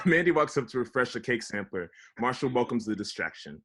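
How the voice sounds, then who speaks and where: A man's voice: medium pitch, lively intonation, brisk, fairly bright tone. A man reading stage directions as scripted narration for a comedy table read.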